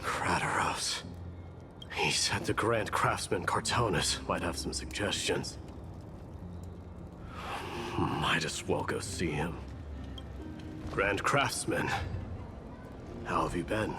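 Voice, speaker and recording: An actor playing a fierce, brooding young warrior prince in a fantasy game, with stern, weighty delivery. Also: male voice, low voice, slow pace, long pauses. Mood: subdued. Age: young adult. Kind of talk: dramatic fantasy game acting. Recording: long hall reverb, music behind. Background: music.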